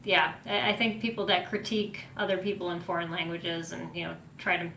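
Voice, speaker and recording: A woman's voice: flat delivery, a little fast, pushed and bright. Laughing woman host in an echoey room. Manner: affirming, laughs often, many back-channel replies. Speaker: podcast host. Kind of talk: casual podcast conversation. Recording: roomy echo with faint rumble.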